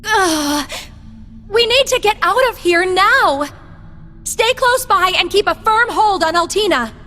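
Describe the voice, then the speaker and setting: Young fantasy game heroine, animated and forceful delivery, earnest, long reverb over music. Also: female voice, extremely high pitch, takes it slowly, full character voice. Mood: alarmed, desperate. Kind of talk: dramatic video game acting.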